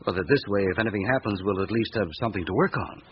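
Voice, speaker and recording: Dutiful male police subordinate played by an actor, somewhat low register, deferential, muffled radio drama sound. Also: rapid-fire, noisy background, lightly acted. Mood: eager, deferential. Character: dutiful, eager male junior police detective.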